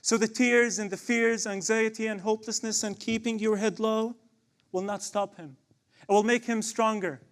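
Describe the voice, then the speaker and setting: A young man delivers spoken word poetry with fierce conviction. His voice sits notably high for a man, and he builds each section toward a repeated rhetorical refrain. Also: steady tone, normal speed. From Palestine, West Bank.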